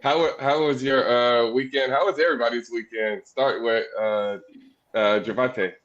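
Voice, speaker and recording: Man co-host, halting, on dull lo-fi audio. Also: medium pitch, steady tone, a bit bright. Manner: halting questions with many fillers. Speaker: podcast co-host. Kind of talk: casual interview.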